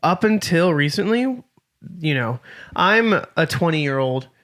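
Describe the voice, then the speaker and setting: A man's voice: fairly high pitch, animated, unhurried, fairly bright tone. A man in his late twenties co-hosting a casual podcast, speaking with dry, self-deprecating humor. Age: 20s. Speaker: podcast co-host. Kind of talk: casual chat.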